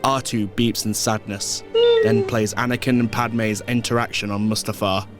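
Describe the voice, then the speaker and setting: A man's voice: on the low side, expressive, moderate pace, over loud music. A man reading scripted narration for an audio drama.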